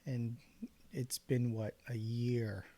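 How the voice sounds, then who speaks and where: A man in his late thirties, co-hosting a casual podcast in a relaxed, agreeable way with short replies. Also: mid-range voice, slow pace. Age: 30s. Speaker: podcast co-host. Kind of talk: casual chat.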